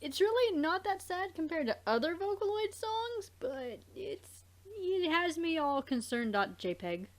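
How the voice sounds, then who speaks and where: A woman hosting a let's play, chatting casually in a rambling, halting way and circling back mid-thought. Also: extremely high pitch, big pitch swings. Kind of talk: casual gaming chat.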